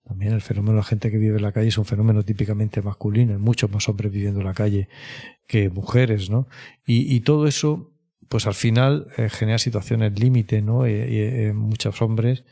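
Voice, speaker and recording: A man with a Spanish accent from Spain talks in a low, gravelly voice with a mellow tone. He explains things conversationally, like an expert being interviewed, and keeps checking that the listener follows. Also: steady tone. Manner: measured, explanatory, often seeking agreement with tag questions. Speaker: clinical psychologist, interview guest.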